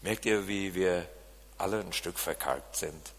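Man, Siegerland accent, sermon, bright ringing voice, long hall reverb. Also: low voice, measured pace, breathy edge. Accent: Siegerland German. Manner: animated, swings from loud emphasis to quiet. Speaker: preacher.

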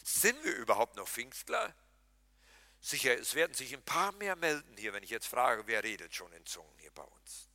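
A pastor preaching a lively sermon, talking straight to his congregation and mixing in jokes. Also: medium pitch, normal speed, dry recording. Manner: animated, talks to the congregation directly, jokes and laughs.

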